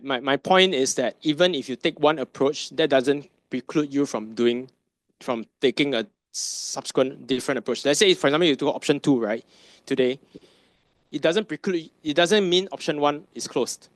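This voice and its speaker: Guy presenting, mid pitch, keeps saying right?, asks the room stuff, dry audio. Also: no echo. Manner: conversational, rhetorical questions, tag "right?". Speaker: masterclass presenter, finance advisor. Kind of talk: conference lecture.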